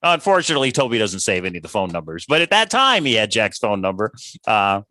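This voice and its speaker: Bright, forceful man discussing on a livestream. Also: fairly low pitch, sing-song, quick pace, no echo. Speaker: livestream co-host.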